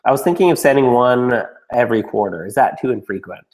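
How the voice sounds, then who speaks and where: A man getting over a cold, a little raspy and snuffly, answering student questions in a casual, frank Q&A. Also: mid-range voice, animated, fairly quick, a little mellow, rough recording. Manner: blunt, frank, with mild swearing and restarts. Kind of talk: casual Q&A talk.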